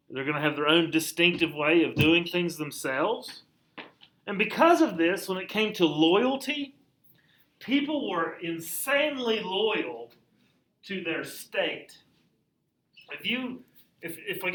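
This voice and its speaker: A man lectures a class on history in a relaxed, conversational way, repeating his main points and checking that the students follow. His voice sits high and sounds bright. The room around him is echoey. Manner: conversational, repeats key points, asks class if it makes sense. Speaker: high school history teacher.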